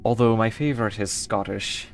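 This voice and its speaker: A woman's voice: very deep voice, normal speed. A woman from the United States streaming a game and chatting casually with her viewers, easygoing and rambling as she answers chat between moves.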